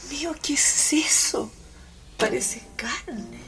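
A woman's voice: high voice, slow pace, vocal fry, a bit bright, whispered, full character voice. Worried woman friend. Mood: shocked. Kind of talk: radio drama acting. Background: music.

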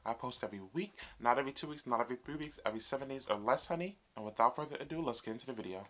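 A man's voice: medium pitch, rapid-fire, a bit bright, a little hiss or hum. A man in his twenties hosting a casual reaction video, chatty and sassy with his viewers. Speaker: YouTube reaction host. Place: small room indoors, fabric backdrop behind.

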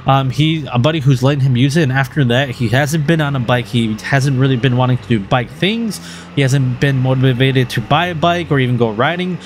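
Man, vlog voice-over, earnest, rambling, mid-range pitch. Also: brisk, a little hiss or hum. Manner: earnest, rambling, many fillers and restarts. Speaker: motovlogger host. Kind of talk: casual voice-over vlog narration.

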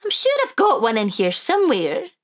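A cheerful old granny from a children's storybook, voiced by a woman reading aloud. She speaks with a lilt, bright and high, and swoops up and down as she calls the children her darlings. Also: wildly swooping pitch, normal speed. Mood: cheery.

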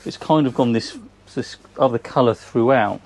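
An English man in his forties, speaking at an average pitch, gives an easygoing walkthrough of a boat. He talks in a chatty, conversational way, full of ums, while moving about the deck outdoors in a marina. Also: moderate pace. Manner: relaxed, chatty, frequent ums, pointing out features. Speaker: boat sales presenter. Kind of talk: casual walkthrough tour.

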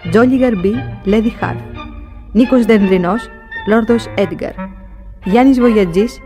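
A woman with a mid-pitched voice announces for radio. She reads credits in a formal, measured way, with brassy instrumental music clearly behind her. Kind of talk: scripted cast credits announcement.

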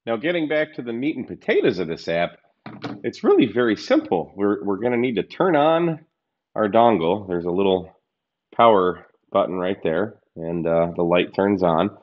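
Low-voiced casual man reviewing radio gear. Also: sing-song, normal speed, highs cut off. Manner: chatty, loose, lots of fillers and restarts. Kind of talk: casual product walkthrough. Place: home office room, indoors.

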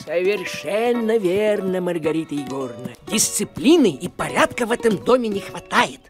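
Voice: mid-range voice, big pitch swings, normal speed, lightly acted. An actor playing a fussy, businesslike talking male cat in a cartoon, officious and self-important, with music behind. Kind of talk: cartoon voice acting.

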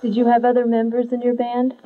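A woman's voice: on the high side, completely flat delivery, quick pace. Young female interviewer, earnest, reading from notes, lo-fi 70s video audio with hum. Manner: earnest, laughs often, reads questions from notes. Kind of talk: live studio interview. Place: small room with padded curtain backdrop. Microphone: handheld mic. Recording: tinny lo-fi late-70s video sound with mains hum.

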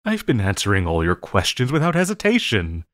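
A man playing an obsessive, lovesick supervillain in an intimate audio roleplay, halting and crooning, by turns sinister and pleading. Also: on the low side, huge pitch swings, fairly bright tone, no room sound, clean studio sound. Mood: evasive. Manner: halting, crooning, breaking into laughter.

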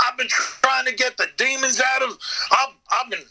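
Cranky farmer played by a man, mumbling and exasperated, pitched high with a sharp bright edge. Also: normal speed, heavily acted, rough recording. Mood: agitated. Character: cantankerous mumbling Texas hillbilly farmer, foul-mouthed, yelling.

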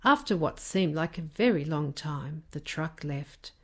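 A woman narrates a children's storybook. Her voice sits slightly low and moves with animated intonation. She reads carefully at an unhurried pace. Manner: careful, expressive reading. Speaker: storybook reader.

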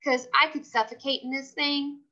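A fussy male toy elephant from a children's storybook, put on by a woman, complains in a high, bright voice. The audio is slightly dull and lo-fi. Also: steady tone, moderate pace.

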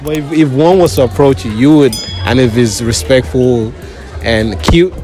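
A man's voice: medium pitch, moderate pace. A young Nigerian man running a casual street interview with a handheld phone, friendly and teasing, with an easy laugh. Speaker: street interviewer, video host. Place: large clothing store indoors, then outdoors by a wall. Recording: music playing behind.

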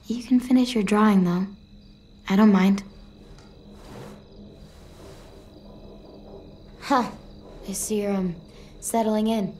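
A woman's voice: medium pitch, normal speed, darkish tone, close to an everyday voice. An actress playing a wistful, awkward but tough teenage girl survivor in a video game, with a hesitant, slangy, casual delivery. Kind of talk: video game drama dialogue.

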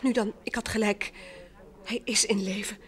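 A woman's voice: mid-range voice, steady tone, normal speed, lightly acted. An actress playing an anxious, polite married woman in an old Dutch radio mystery. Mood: anxious, insistent. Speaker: radio drama actor.

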